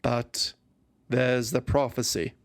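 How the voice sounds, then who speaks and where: A narrator voicing a devoted, conflicted male squire in a fantasy audio story, earnest, restrained, hushed and hesitant. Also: mid-range voice, level tone, takes it slowly, dry recording.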